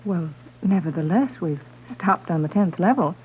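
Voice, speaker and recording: A woman's voice: fairly low pitch, lively intonation, normal speed, background noise, natural voice. An actress playing an anxious, proper, doubting middle-aged mother in a 1930s radio serial. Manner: proper, worried. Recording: tinny, humming 1930s radio recording.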